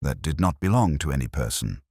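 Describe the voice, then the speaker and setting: A man's voice: rumbling low, expressive, a little fast, a little mellow, breathy edge, no echo, studio quality, full character voice. A man voicing an anxious, genteel young woman in an audiobook mystery, polite and hesitant in manner. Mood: uneasy, pleading. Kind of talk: dramatised detective audiobook.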